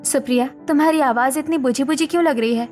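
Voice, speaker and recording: A woman's voice: sits high, quick pace, a little mellow, soft background music, close to an everyday voice. A woman voicing a young, supportive and assertive daughter-in-law in a cartoon family drama, earnest and firm.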